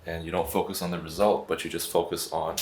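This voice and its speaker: A young man of twenty with a low voice talks to camera in a relaxed, unpolished way. He swears freely and calls everyone bro. A faint hiss sits under the recording. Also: quick pace. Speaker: vlogger and business owner. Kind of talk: casual vlog chat.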